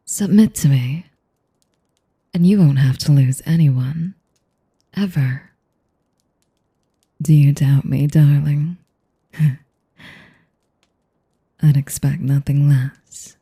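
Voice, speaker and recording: Woman as breathy, slow-talking sadistic vampire seductress. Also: mellow, exaggerated voice. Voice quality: low, breathy, often dropping to a whisper. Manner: slow, velvety taunting with condescending endearments. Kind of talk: audio roleplay addressed to the listener.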